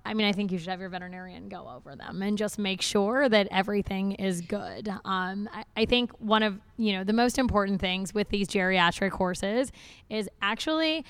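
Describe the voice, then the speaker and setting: A woman's voice: mid-range voice, normal speed, clear, resonant tone, no room sound. A woman veterinarian answering a question on a live panel, conversational and confident.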